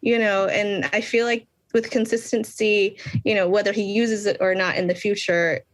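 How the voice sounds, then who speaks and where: A woman from the United States, a children's book author, talks in a casual interview with many pauses and restarts. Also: mid-range voice, steady tone. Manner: thoughtful, many ums and restarts.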